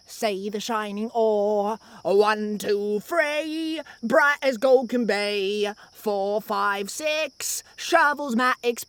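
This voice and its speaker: Woman doing a miner boy chanting, slow sing-song, smooth clear voice, dry close sound. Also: mid-range voice, a bit bright, no echo. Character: whistling boy miner singing.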